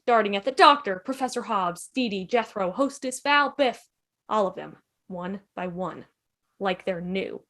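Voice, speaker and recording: A woman's voice: mid-range voice, lively intonation, a little slow, a bit bright. A woman narrator reading stage directions for a drama script. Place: online video call.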